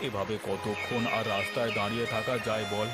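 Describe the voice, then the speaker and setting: A man's voice: lowish voice, little pitch movement, quick pace, close to an everyday voice. A man voicing a downcast, remorseful homeless village husband in a moral-tale cartoon, plaintive and resigned. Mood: pleading, remorseful. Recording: constant instrumental music behind the voice.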